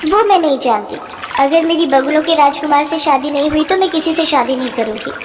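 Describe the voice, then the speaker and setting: A woman's voice: high-pitched, a little fast, close to an everyday voice. A woman voicing a sheltered young princess in a fairy tale, eager and stubbornly insistent. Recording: long reverb, noisy track.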